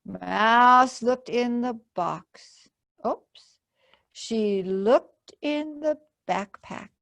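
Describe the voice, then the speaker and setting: A woman's voice: a little high, extremely slow, vocal fry, fairly bright tone, dry recording. A woman hosting a library storytime, telling a story to children and adding simple explanatory asides. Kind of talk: children's read-aloud storytelling.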